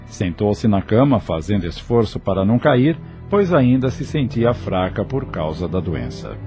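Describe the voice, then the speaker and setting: A man's voice: fairly low pitch, sing-song, moderate pace, warm tone, faint music behind. A man narrating an audio novel in a scripted storytelling style.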